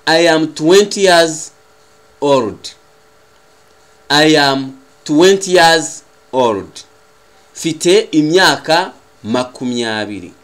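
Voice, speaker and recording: A man with a Rwandan accent, a native Kinyarwanda speaker, teaching a language lesson in a deliberate, carefully enunciated way as he repeats phrases. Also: fairly high pitch, lively intonation, fairly bright tone. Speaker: English teacher.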